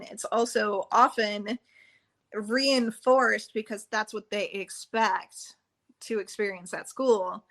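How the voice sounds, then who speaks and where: A woman's voice: mid-range voice, a bit bright. A woman hosting a podcast, a trauma practitioner explaining her views in an earnest, explanatory way. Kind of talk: conversational podcast interview.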